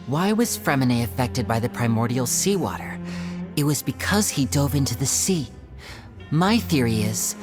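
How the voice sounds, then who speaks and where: A woman voicing a poised, clever young man in a video game, reasoning in a deliberate, methodical way. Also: deep voice.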